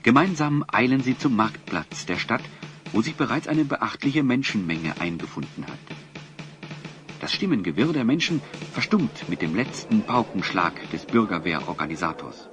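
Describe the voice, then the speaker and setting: A man playing the storyteller of a fantasy audio drama, narrating in a measured, suspenseful scripted style. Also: lowish voice, expressive, music in the background, lightly acted.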